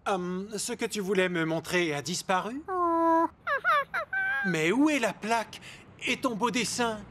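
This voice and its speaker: An actor playing a kindly, polite, earnest man in a French animated cartoon. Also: high-pitched, a little slow, heavy background noise. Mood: concerned. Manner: courteous, expressive. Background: loud background music.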